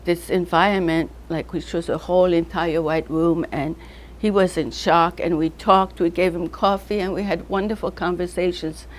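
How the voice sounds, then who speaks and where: An older woman from North Africa with a fairly low-pitched voice, telling an interviewer loose, rambling stories. She wanders between names and memories and breaks into laughter often. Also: fairly even intonation, normal speed, slight background noise, poor audio. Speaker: artist being interviewed. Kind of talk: casual reminiscing interview.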